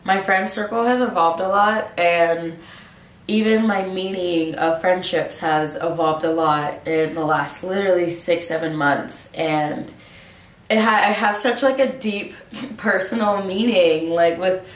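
A young woman with a stuffy nose, musing casually to camera in a relaxed way, with lots of filler words. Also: fairly low pitch, unhurried. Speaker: vlogger. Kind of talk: casual reflective monologue to camera. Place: small room indoors, seated in a hanging chair. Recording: roomy echo, mic at a distance.

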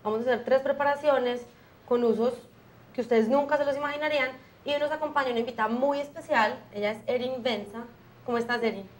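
A young woman hosting a cooking show, explaining each step to viewers in a brisk, friendly way from a small studio kitchen. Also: high-pitched, fairly even intonation. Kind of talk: friendly televised cooking lesson.

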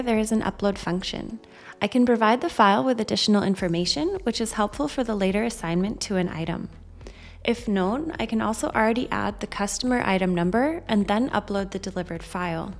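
A woman reading a scripted software demonstration in a measured, instructional way. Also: medium pitch. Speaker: software demo narrator. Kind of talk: scripted tutorial narration. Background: background music.

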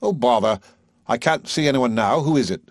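A man's voice: medium pitch, animated, moderate pace, no echo, studio quality, voice pushed up, natural voice. A man voicing an irascible, blustering male professor who erupts into indignant outbursts. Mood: agitated.

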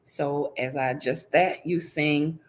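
A woman's voice: low voice, fairly even intonation, slow, a little hiss or hum. A middle-aged woman reading a picture book aloud to young children, teacherly and interactive, checking in with her listeners. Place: indoors in front of a green screen.

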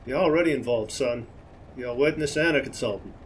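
A man's voice: medium pitch, fairly quick, a little hiss or hum, close to an everyday voice. A man playing an authoritative, fatherly 1940s police detective in a noir radio drama, commanding and matter-of-fact. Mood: firm.

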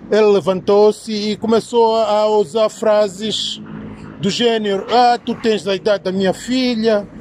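A man in his thirties with an Angolan accent giving emphatic, opinionated commentary to his phone, repeating himself and pausing to search for words. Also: sits high, darkish tone. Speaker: social media commentator. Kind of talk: opinionated vlog commentary to camera. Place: outdoors in an alley beside brick buildings. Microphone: phone at arm's length. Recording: clear outdoor street and traffic noise.

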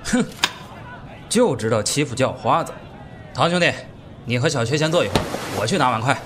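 A man playing a hearty, protective beggar-clan brother in a Chinese wuxia audio drama, gruffly jovial. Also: mid-range voice, lively intonation, fairly quick, noisy background, close to an everyday voice.

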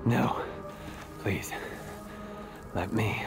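An actor playing a frail, solemn, mysterious young man in a video game, weary, breathy and formal. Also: lowish voice, drawn-out words, exaggerated voice. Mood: solemn resolve. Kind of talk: video game cutscene acting. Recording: loud music behind.

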